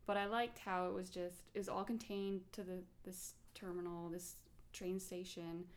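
A woman with a fairly low voice chats about a film in an easygoing manner. She explains her views at length, linking her thoughts together with casual fillers. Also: flat delivery, normal speed. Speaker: podcast co-host.

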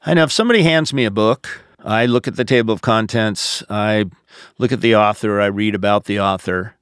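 A man's voice: fairly low pitch, brisk, fairly bright tone, smooth, dry recording. American man, pastor in close dry sound. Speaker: pastor answering listener questions. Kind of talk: conversational podcast teaching.

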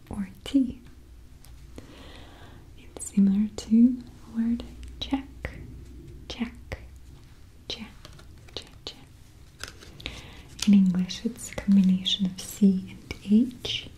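A woman with a Russian accent, a native Russian speaker, softly whispering a patient lesson on the Russian alphabet, repeating each sound. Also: mid-range voice, poor audio. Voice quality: soft whisper. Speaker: language teacher.

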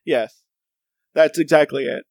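A man's voice: fairly high pitch, steady tone, measured pace, vocal fry, darkish tone, no room sound. A man co-hosting a podcast in casual, playful chat, rambling with many fillers. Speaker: podcast co-host.

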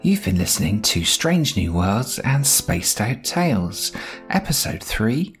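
A man's voice: fairly low pitch, very animated, unhurried. Man announcing credits over music. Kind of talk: scripted credits narration.

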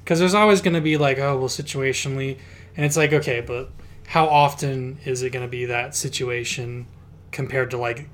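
A man's voice: medium pitch, fairly even intonation, moderate pace. A man co-hosting a podcast, chatting in an easygoing way with many fillers. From Utah. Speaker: podcast co-host. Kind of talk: casual chat.

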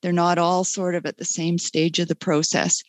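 A woman talking as a guest in a webinar conversation, relaxed and chatty, laughing often. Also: lowish voice, moderate pace, no room sound. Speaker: guest speaker.

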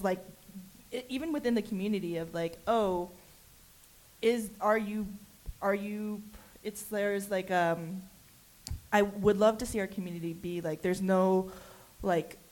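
A woman's voice: fairly low pitch, darkish tone, poor audio. A woman writer speaking on a panel, rambling with many restarts and fillers. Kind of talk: panel discussion.

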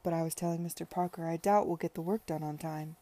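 A woman's voice: sits low, steady tone, fast, dark tone, lightly acted. A woman voicing an awkward, earnest young workman. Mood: hesitant. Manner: hesitant.

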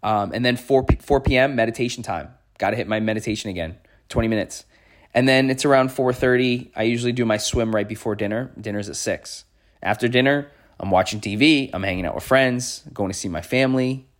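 A man's voice: medium pitch, fairly quick. A man in his thirties with an American accent, giving an impromptu motivational podcast monologue as a life coach, repeating phrases for emphasis. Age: 30s.

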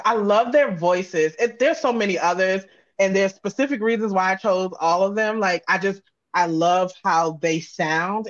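A woman's voice: fairly low pitch, dry recording, highs cut off. A woman giving casual, candid and reflective interview answers, often voicing her own self-talk. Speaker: interview guest, audio drama creator.

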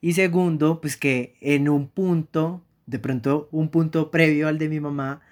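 A man's voice: fairly high pitch, fairly even intonation, unhurried. A young man from Colombia co-hosting a podcast, talking casually and using lots of fillers. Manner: conversational, uses many fillers like "como" and "pues". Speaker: podcast co-host. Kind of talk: casual chat.

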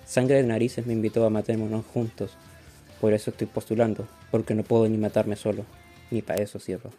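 A man voices a bitter, bullied Chilean schoolboy in a radio play. He is very dark and muffled in tone, flat and deadpan, with light music behind. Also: lowish voice, fairly quick, close to an everyday voice. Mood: bitter, dejected. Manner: flat, deadpan listing of failings. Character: bullied, bitter, self-loathing schoolboy loser.

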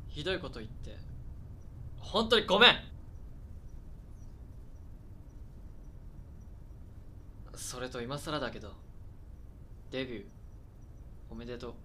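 A man's voice: mid-range voice, sharp tone, slight background noise. A man acting a defensive, awkward childhood friend in his twenties in a radio drama, hedging and speaking in blunt casual phrases. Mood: apologetic. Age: 20s. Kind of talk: naturalistic radio drama dialogue.

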